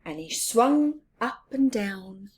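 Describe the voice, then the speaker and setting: A woman's voice: fairly high pitch, animated, takes it slowly, a little mellow, husky. A woman hosting storytime, reading aloud expressively to young children and adding chatty asides. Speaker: storytime host.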